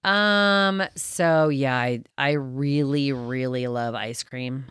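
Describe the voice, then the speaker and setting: A middle-aged woman with a deep, low voice tells rambling stories in relaxed chat. She leans on fillers as she goes. The sound is close and dry, with no room around it. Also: takes it slowly, clear, resonant tone, dry recording. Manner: chatty storyteller, lots of fillers. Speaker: guest business owner. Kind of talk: casual chat. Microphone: studio mic.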